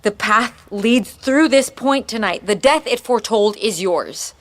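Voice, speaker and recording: An actress playing a cold, menacing armed agent in an audio drama, speaking solemnly. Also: female voice, medium pitch, lively intonation, a little slow, no echo, close to an everyday voice.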